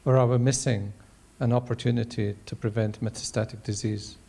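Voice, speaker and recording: A man in his sixties speaks on a panel in a large auditorium. His voice is mellow and of middling pitch. He builds his points deliberately, with halting phrases. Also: steady tone, vocal fry. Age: 60s. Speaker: panel member, ocular oncologist. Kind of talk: measured panel discussion answer. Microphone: handheld microphone.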